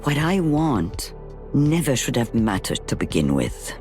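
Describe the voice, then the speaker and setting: An elderly woman, a battle-worn sniper and protective mother from a video game. Her delivery is measured and dryly wry, with a wide, expressive swing in pitch. Faint music sits behind her. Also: exaggerated voice. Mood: tender, earnest. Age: older.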